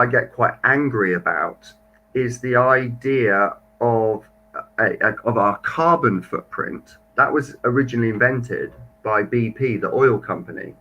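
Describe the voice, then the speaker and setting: Young man, bright forceful tone, casual livestream chat, webcam mic. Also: medium pitch, poor audio. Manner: chatty, reads viewer comments aloud. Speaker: marine biologist livestream co-host.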